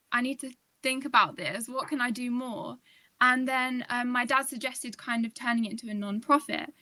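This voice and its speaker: Teen girl guest, UK-born, bright and chatty with lots of fillers; tight dry sound. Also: on the high side, no room sound. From United Kingdom. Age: teens. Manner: chatty, many fillers like "kind of". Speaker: guest, nonprofit founder. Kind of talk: casual podcast chat.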